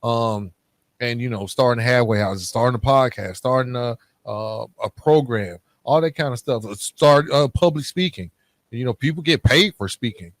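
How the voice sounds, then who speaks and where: A man's voice: medium pitch, moderate pace, a bit bright, dry recording. A man speaking as a podcast host in a casual, candid, colloquial way, reflecting on personal experience.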